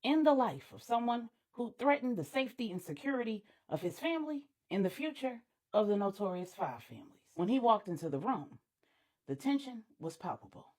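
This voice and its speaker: A woman storyteller delivering dramatic scripted narration with deliberate, emphatic pauses. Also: on the high side, big pitch swings, darkish tone.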